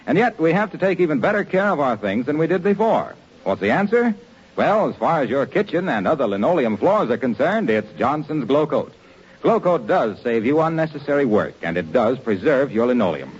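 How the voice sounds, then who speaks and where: A man's voice: fairly high pitch, big pitch swings, a little fast, slightly rough. A man working as a 1940s radio announcer, delivering polished, emphatic sales copy. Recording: dull 1940s radio recording, faint hiss.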